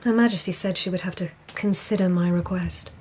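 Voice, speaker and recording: An actress playing a sensible, soothing court lady in a naturalistic radio drama, matter-of-fact and gently dry. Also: on the low side, steady tone, a little fast, slight background noise, lightly acted. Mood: weary, defensive.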